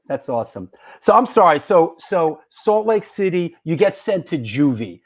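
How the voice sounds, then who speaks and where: A man hosting a casual interview, eagerly chiming in with quick reactions and questions. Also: on the high side, very animated. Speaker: host.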